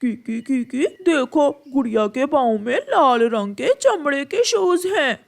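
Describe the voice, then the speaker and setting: A woman's voice: high voice, expressive, unhurried, gravelly, full character voice. A woman voicing a mischievous, scheming young boy bird with a childlike, eager manner in a cartoon. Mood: curious. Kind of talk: Hindi moral-story cartoon dialogue.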